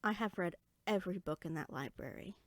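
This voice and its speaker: A woman playing a small, bookish, friendly fairy in a roleplay audio drama, speaking in a chatty, expressive, conversational way. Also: lowish voice, brisk, no echo, natural voice. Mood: eager, friendly.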